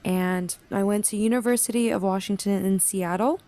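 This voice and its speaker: A woman's voice: mid-range voice, fairly even intonation, moderate pace, mellow. A woman from the US Pacific Northwest hosting a casual podcast, playful and often laughing. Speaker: podcast host.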